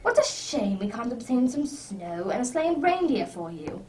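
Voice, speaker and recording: Woman doing witty posh gentleman detective, radio play, lively pitch, slightly off mic. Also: normal speed. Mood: amused, teasing. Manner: teasing, precise, old-fashioned gentlemanly phrasing. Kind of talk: radio drama acting. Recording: distant microphone.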